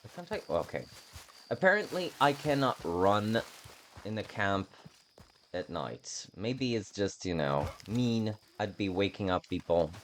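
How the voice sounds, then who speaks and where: Male streamer, very low voice, unhurried, halting and self-deprecating game chat. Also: big pitch swings, slight background noise. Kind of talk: casual playthrough commentary.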